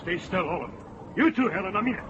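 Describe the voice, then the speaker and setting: A man's voice: sits high, animated, fast, highs cut off, noisy background. An actor playing a desperate, armed male killer who snarls curt commands in a radio drama. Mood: menacing.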